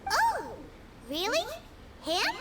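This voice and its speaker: A woman voicing a small, childlike fairy companion in a video game, with a squeaky, blunt and excitable way of talking. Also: extremely slow, background noise, exaggerated voice. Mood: surprised. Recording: loud music behind the voice.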